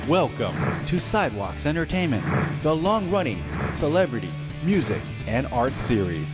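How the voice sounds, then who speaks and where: A man's voice: mid-range voice, big pitch swings, normal speed. A man announcing the show in an upbeat promotional voice-over. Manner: upbeat, sweeping delivery. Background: loud music.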